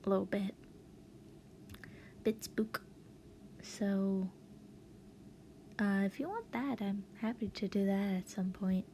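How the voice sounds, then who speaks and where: A woman's voice: medium pitch, slow pace, pauses often, warm tone, slight background noise. A woman giving a casual, rambling voice tutorial as a voiceover, with a hesitant, giggly and self-deprecating manner. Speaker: online video creator giving a voice acting tutorial. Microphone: voice-over recorded to accompany a speed paint.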